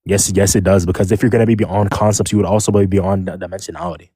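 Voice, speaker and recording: A man in a casual online voice-chat debate, arguing rapidly in jargon and talking over interruptions. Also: sits low, fairly even intonation, mellow, breathy edge, dry recording, clean studio sound.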